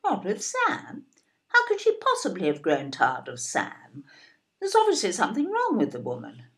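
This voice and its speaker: An actress playing a fussing, incredulous older British wife in an audio play, fretful and full of exclamation. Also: female voice, mid-range voice, huge pitch swings, normal speed, fairly bright tone. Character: fussing, indignant older wife, doubting her husband.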